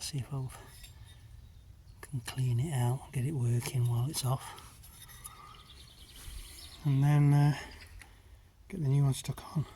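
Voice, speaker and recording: A man's voice: mid-range voice, noisy background. Chesty man talking through a repair. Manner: thinking aloud, hesitant with frequent ums. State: a bit chesty after illness. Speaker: farmer and video maker. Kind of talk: casual talk-through of a repair.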